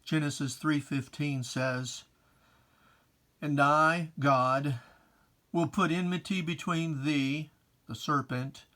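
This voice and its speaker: A reader giving a solemn, theatrical recitation of religious verse, with drawn-out phrasing. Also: mid-range voice, fairly bright tone. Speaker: poetry reader.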